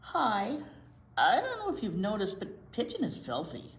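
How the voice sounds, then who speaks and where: A woman's voice: mid-range voice, huge pitch swings, normal speed. A woman presenting storytime, chatting and reading aloud for young children. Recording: roomy echo, noticeable room noise.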